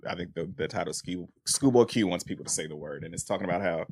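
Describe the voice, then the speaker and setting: A man with a low voice discusses things as a podcast co-host. The sound is dry and close. He is thoughtful and casual, feeling his way through a point and rewording it. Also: darkish tone, husky, no echo. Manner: thoughtful, halting, rephrasing as he goes.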